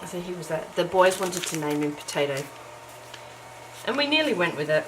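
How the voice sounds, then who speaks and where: Croaky low-voiced woman. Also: big pitch swings, moderate pace. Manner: chatty and self-deprecating, with frequent ums and little laughs. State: croaky morning voice. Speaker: crafting vlogger. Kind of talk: casual chat while crafting. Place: home, early morning. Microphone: camera's built-in mic. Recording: noisy home camera recording.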